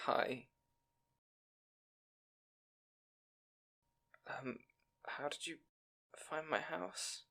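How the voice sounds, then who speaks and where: A man's voice: medium pitch, gravelly, a bit bright, breathy edge, heavily acted. A man playing a timid, bookish young scribe in an audio roleplay, speaking to the listener in an anxious, hesitant, stammering way. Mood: sheepish, downcast.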